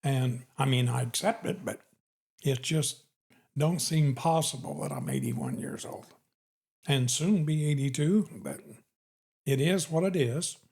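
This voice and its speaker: A man's voice: mid-range voice, huge pitch swings, a little slow, a little mellow. A man in his eighties from Illinois, a podcast guest answering plainly and in short, laid-back phrases. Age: 80s. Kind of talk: casual conversation.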